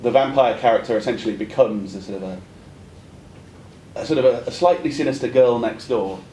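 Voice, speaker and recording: A man's voice: fairly quick, warm tone. A man asking an academic question at a conference, speaking in a polite, hesitant and discursive way. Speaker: audience questioner. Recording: echoey room with faint rumbling noise.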